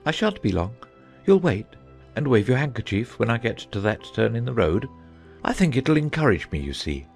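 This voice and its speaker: A man playing a gentle, wistful, slightly doubtful knight in a dramatized storybook audiobook, mild and earnest. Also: mid-range voice, sing-song, normal speed, creaky, faint music behind.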